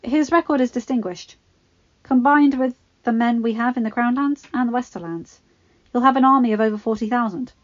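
A scheming male courtier lays on the flattery with oily, ingratiating words, as a woman reads him. The lines come out raised and eager. The recording is a little dull. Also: on the high side, a little fast, pitched above the performer's own voice, lightly acted.

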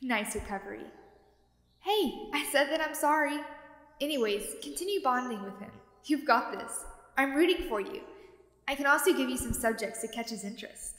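A woman playing a friendly, teasing young ghost roommate in an ASMR roleplay audio drama, chatty and expressive. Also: high voice, moderate pace, no echo. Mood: sheepish, defensive, then encouraging.

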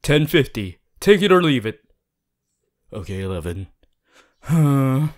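Casting: male actor as earnest male student class president, fussy, caring, mid-pitched, for an ASMR roleplay addressed to the listener. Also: very animated, slow pace. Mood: gently nagging. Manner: hesitant, repeating himself, gently bossy.

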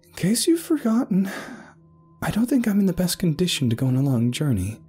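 A man voicing a wary, sharp-tongued young woman in a told story. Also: medium pitch, sing-song, fairly quick, breathy edge, faint music behind, pitched above the performer's own voice. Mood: sarcastic protest.

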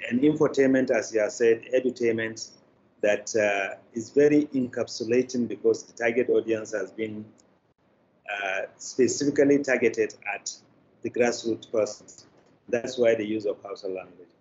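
A man's voice: lowish voice, measured pace, a little mellow. An older man with a Nigerian accent giving formal, hesitant interview answers as a company director on a video call. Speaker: managing director, interview guest. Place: indoors in an office room on a video call. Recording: dull, faintly noisy video call.

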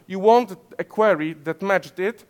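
A man's voice: sits high, unhurried, darkish tone. A man in his thirties from Poland with a Polish accent, giving a technical conference talk with many fillers and restarts. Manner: many fillers and self-corrections, gesturing while explaining. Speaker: conference speaker. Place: conference stage in front of slides, indoors.

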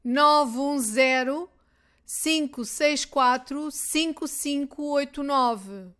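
Portuguese teacher lady, european accent, high voice, says everything twice real slow. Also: a bit bright. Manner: reads each line twice, clearly and deliberately. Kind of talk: slow repeated phrase drills for learners.

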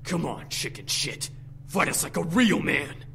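Man playing a high, gravelly, defiant young fighter. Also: big pitch swings, unhurried, a little hiss or hum, heavily acted. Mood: angry challenge. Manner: shouting, confrontational. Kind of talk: visual-novel voice acting.

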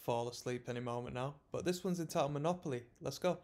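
A young man in his twenties hosting a music reaction, talking in a relaxed, rambling, slightly sleepy way from a small room. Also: medium pitch, fast, heavy background noise. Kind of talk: casual music reaction commentary. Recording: song playing faintly behind.

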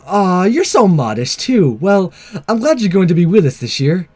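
A man's voice: high voice, very animated, moderate pace, darkish tone, faint music behind. A man voicing a perky, forward teenage schoolgirl in a visual novel game, breezy and teasing in a girlish way. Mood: teasing, cheerful.

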